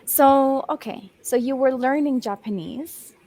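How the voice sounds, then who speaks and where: A woman's voice: high voice, mellow. A young Australian woman tutoring English over a video call, patient and encouraging, rephrasing often with lots of ums. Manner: patient and encouraging, slows down and rephrases, many um fillers. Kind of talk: one-on-one language lesson over video call.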